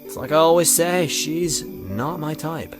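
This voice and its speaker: A man playing a laid-back, dry-witted male friend in a visual novel, with a casual, deadpan delivery. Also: on the high side, measured pace, over music, lightly acted.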